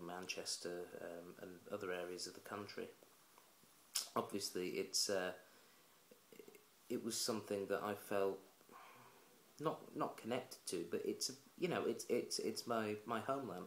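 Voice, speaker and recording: Gravelly low-voiced man, blunt. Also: slight background noise. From England. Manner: blunt, opinionated, plain-spoken. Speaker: vlogger. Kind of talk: opinionated casual commentary to camera. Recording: roomy echoey room.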